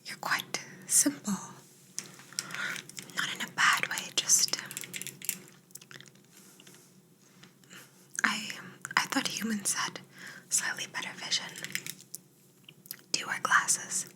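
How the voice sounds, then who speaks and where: Slow, whispering female alien examiner, acted by a woman. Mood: curious, intrigued. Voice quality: soft, breathy whisper. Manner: slow, soothing, measured instructions with murmured hums. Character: curious, gently clinical female alien examining an abducted human. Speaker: ASMR roleplay performer. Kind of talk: whispered ASMR personal-attention roleplay.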